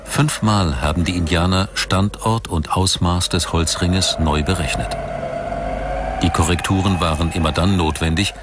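A man delivering scripted documentary narration in a measured, formal storytelling manner. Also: sits low, fairly even intonation, very noisy. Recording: music bed under voice. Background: background music.

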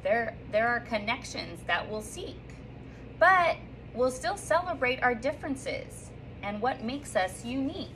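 A woman's voice: fairly high pitch, measured pace, background noise. A woman in her thirties, a third grade teacher, recording a cheerful sing-song welcome and picture book read-aloud for her class from a classroom reading corner, filmed from a few feet away. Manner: upbeat and sing-song, reading with lively rises and slips. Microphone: phone or camera on a stand a few feet away.

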